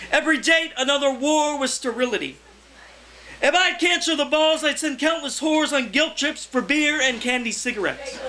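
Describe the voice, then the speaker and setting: A young man, a poet, reading his verse aloud live in a loud, theatrical, impassioned delivery. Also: very high-pitched, slight background noise. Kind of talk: live poetry reading, declaimed. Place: indoor cafe reading before a small audience.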